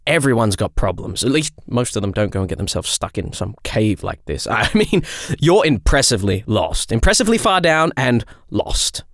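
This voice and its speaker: A man performs a mischievous young male angel for an audio drama. His pitch leaps wildly as he chatters with theatrical, flippant cheer. The recording is dry and close. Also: vocal fry, no echo. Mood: teasing. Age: young adult.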